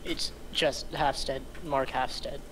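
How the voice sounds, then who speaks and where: Cool, even-toned male scammer read by a man. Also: mid-range voice, takes it slowly, background noise, lightly acted. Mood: cool, assured. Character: cocky male scammer coolly coaching his accomplice. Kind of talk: table read of a film script.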